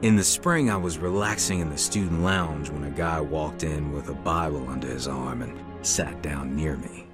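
A man's voice: very deep voice, normal speed, hardly any pauses, over music. An actor playing a troubled young man who tells his testimony earnestly in a scripted radio drama. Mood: somber, reflective.

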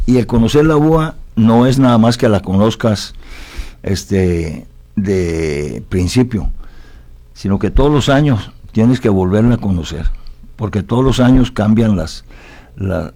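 A man's voice: on the low side, dark tone. A man from Italy talking reflectively as an interview guest, philosophical and often tagging his points with questions seeking agreement.

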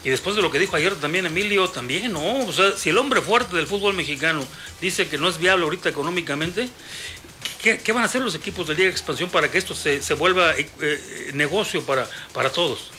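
A man's voice: on the high side, expressive, a little fast, pushed and bright, soft background music. A man hosting a sports radio interview, chatty and rambling with many fillers.

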